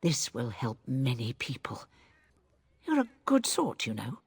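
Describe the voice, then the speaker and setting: A woman's voice: very deep voice, wildly swooping pitch, measured pace, breathy edge, exaggerated voice. A woman playing a brusque, weary village healer in a video game, speaking in blunt, earthy phrases. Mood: grateful.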